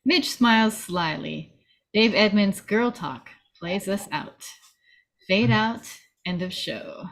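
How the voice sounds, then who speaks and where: A woman of medium pitch hosts an informal table read over a video call. She speaks in an amateur narrator's and casual chatter's style. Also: lively intonation, slow, a bit bright. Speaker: table-read host reading stage directions. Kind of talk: amateur script narration and casual group chat.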